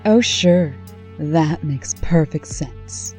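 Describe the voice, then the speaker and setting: A woman playing a stern, sharp-tongued sister in a comic dub, sarcastic and demanding in manner. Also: low-pitched, extremely slow, faint music behind. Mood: sarcastic, impatient.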